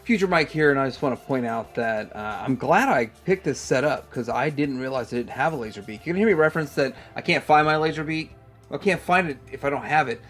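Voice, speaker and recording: A man's voice: medium pitch, lively intonation, fairly quick, fairly bright tone, soft background music. A man hosting a casual hands-on toy review, rambling and thinking aloud as he goes. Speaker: toy review channel host.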